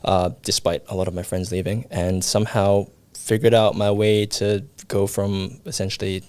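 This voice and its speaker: A young man from Hong Kong talks easily as a podcast guest, loose and chatty with plenty of filler words. His voice sits fairly low, and the recording is dry and close with no room sound. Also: moderate pace, smooth, no room sound. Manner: relaxed, chatty, many fillers. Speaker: guest, production company founder. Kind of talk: casual interview. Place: video podcast studio.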